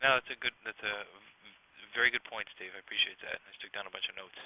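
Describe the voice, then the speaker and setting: A man's voice: lowish voice, fairly even intonation, quick pace, gravelly. A man sharing his experience as a business owner on a group call, conversational, earnest and agreeable. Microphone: telephone. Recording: dull phone conference line.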